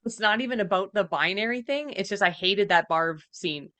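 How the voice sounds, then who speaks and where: A woman's voice: mid-range voice, expressive, moderate pace, fairly bright tone, no echo. Casting: female podcast co-host, mid-range voice, casual, blunt, emphatic commentary. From Canada.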